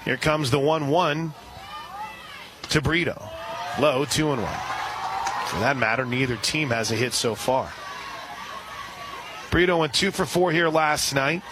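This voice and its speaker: A man doing radio play-by-play for a college softball game, steady and informative, reading sponsor spots between pitches. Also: a little slow, pauses often, crowd noise, background noise.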